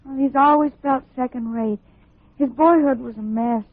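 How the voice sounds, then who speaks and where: An actress playing a worried, earnest client who speaks in a hesitant, measured way in an old-time radio drama. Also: female voice, high voice, no echo. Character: worried, earnest woman client confiding in a detective. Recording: narrow-band old radio recording.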